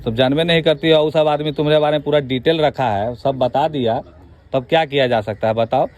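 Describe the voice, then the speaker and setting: A man's voice: a little high, fairly even intonation, a little fast, a little mellow. A man with a Bihari accent in an informal phone conversation, asking pressing questions in a colloquial way and cutting in. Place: phone call.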